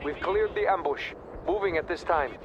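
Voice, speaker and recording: A convoy soldier from a war game, voiced by a man, alert and terse. The voice is high for a man and delivers short military reports over loud battlefield noise. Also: normal speed, lo-fi sound, lightly acted. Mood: terse, businesslike. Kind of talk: scripted video game radio chatter.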